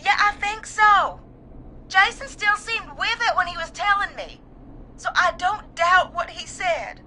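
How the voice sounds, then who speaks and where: A woman playing a grieving, shaken caller, tearful and halting, in dramatic game acting. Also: extremely high pitch, animated, sharp tone, slight background noise, heavily acted, low-quality recording. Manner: halting, fragile.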